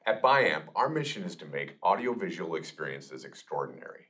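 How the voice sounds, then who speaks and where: A man's voice: low voice, very animated, a little fast, fairly bright tone, rough recording. A middle-aged man giving a scripted product presentation to camera in a small meeting room. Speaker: product manager presenting a demonstration.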